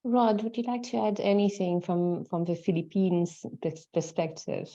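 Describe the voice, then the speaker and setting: Woman facilitator, mellow lowish voice, earphone mic, lo-fi call sound. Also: fairly even intonation, moderate pace. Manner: polite, organized, explaining steps. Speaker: meeting facilitator. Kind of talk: facilitating an online consultation. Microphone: wired earphone mic on a video call. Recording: dull lo-fi call audio.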